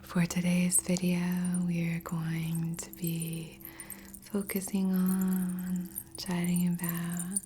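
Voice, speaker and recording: A woman speaking in a soft, breathy whisper as a gentle, soothing host of an ASMR guided meditation. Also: fairly low pitch, monotone, slow. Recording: soft music under voice. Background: background music.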